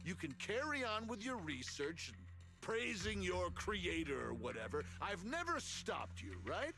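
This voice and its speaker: An actor playing a brash, impatient villain boss in a video game, domineering and exasperated, with game music behind him. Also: male voice, high voice, sing-song, a little slow, vocal fry, full character voice. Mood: sarcastic, irritated.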